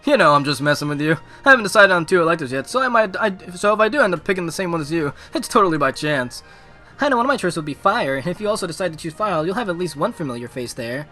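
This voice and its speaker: A chummy, teasing teenage boy from a visual novel, played by a man. He sounds excitable and high-pitched, with a bright edge and music playing softly underneath. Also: quick pace. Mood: excited, teasing.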